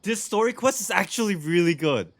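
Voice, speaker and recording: A man's voice: high-pitched, animated, fairly quick, dry recording. A man giving casual, laid-back playthrough commentary as a gaming streamer, speaking close to the microphone. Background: faint game music.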